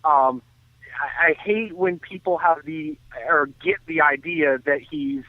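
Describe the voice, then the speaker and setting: Male sports analyst calling in over a narrow phone line, dry sound, earnest and detailed, halting delivery with restarts. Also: fairly high pitch, no echo. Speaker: baseball analyst and guest on a sports radio show. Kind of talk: off-the-cuff sports commentary.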